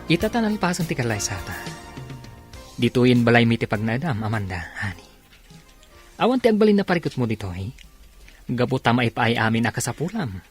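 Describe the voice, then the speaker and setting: A demanding, domineering young husband in a radio drama. His pitch sweeps widely as he presses his points with emphasis. Music plays clearly underneath. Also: sing-song, brisk, long pauses. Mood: coaxing. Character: domineering new husband, turning from sweet to angry.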